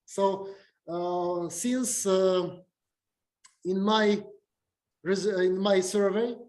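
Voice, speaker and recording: A slow, hesitant lecturer with a Russian accent speaks in a fairly high voice, pausing often and restarting his sentences. His talk comes through an echoey video call recording, as if from a big room. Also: fairly even intonation, darkish tone. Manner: halting, many fillers and restarts.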